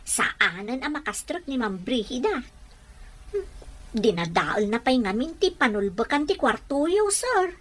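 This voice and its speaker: A woman's voice: a little high, big pitch swings, unhurried. A woman with a Filipino accent playing an anxious, respectful household helper in a radio drama, deferential and pleading. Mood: deferential, curious.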